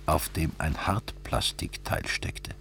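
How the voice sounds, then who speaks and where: A man playing the dry, wry narrator of a radio drama, telling a crime story in a measured, deadpan way. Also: rumbling low, vocal fry, noisy background, exaggerated voice. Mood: matter-of-fact.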